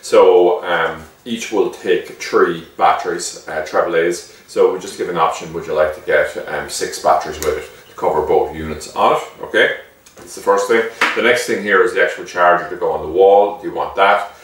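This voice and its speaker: Deep-voiced man in a very echoey room. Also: normal speed, a bit bright. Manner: chatty, explanatory, often checking with "okay". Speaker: shop owner presenting a product. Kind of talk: casual product demonstration. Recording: echoey room, distant microphone.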